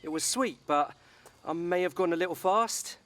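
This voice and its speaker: A man's voice: high-pitched, moderate pace, fairly bright tone. A young man playing a sheepish, evasive lad owning up to mischief in a radio soap. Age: young adult.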